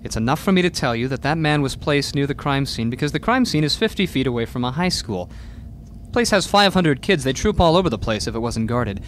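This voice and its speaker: A man playing a stern, weary middle-aged father and prosecutor in a radio drama, speaking in a measured, admonishing way. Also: medium pitch, sing-song, slight background noise, natural voice.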